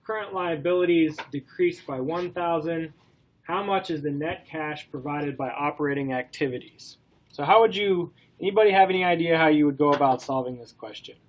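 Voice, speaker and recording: A man with a cold and a stuffy nose, giving a classroom lecture in a relaxed way, with many ums and questions to the class. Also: on the high side, moderate pace, low-quality recording. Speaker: college instructor.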